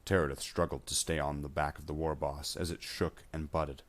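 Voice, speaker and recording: A man's voice: rumbling low, flat delivery, a little fast. A man reading scripted science fiction battle narration.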